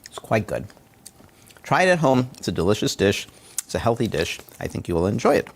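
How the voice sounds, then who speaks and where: A man's voice: on the low side, sing-song, fairly quick, creaky. A man showing a dish in his home kitchen over a video call, chatty and encouraging as he cooks and tastes. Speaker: home cook presenter. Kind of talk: casual cooking demonstration. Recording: faint background noise.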